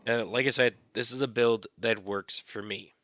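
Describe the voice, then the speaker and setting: A man with a scratchy voice, casually explaining how he plays a game character, with relaxed, repetitive phrasing. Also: mid-range voice, normal speed, no room sound, dull audio. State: recovering from illness. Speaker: gaming channel host. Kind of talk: casual gameplay tutorial.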